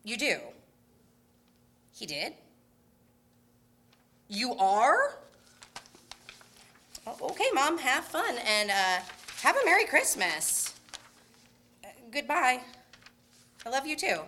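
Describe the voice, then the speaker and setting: A woman's voice: high-pitched, very animated, slow, long pauses, bright, forceful tone, a little hiss or hum, heavily acted. A woman playing a flustered, forgetful mother, exasperated yet placating, in an amateur Christmas radio skit. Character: flustered, forgetful mother on a family road trip. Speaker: radio play actor.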